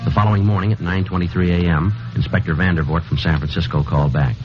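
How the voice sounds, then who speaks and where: A man's voice: low-pitched, fairly even intonation, fast, lightly acted. A man playing a terse, methodical police sergeant who narrates and questions in a 1950s radio drama, clipped and matter-of-fact, over music on an old lo-fi broadcast. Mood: narrating.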